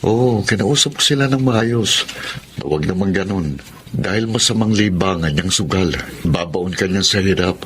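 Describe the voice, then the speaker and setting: A man's voice: deep voice, animated, normal speed, fairly bright tone, slight background noise. A man playing an earnest, troubled friend who speaks cautioningly in a Tagalog radio drama.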